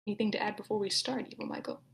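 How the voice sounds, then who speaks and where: A woman's voice: medium pitch, steady tone, very fast, creaky. A woman casually hosting an online table read, giving announcements in an informal, chatty way. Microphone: own microphone in an online voice meeting.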